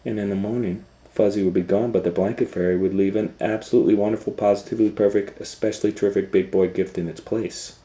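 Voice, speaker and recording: A middle-aged man reading a picture book aloud for children, dropping to whispers and rising to loud excited voices for the story. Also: lowish voice, fast talker, few pauses, roomy sound, poor audio. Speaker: storytime reader.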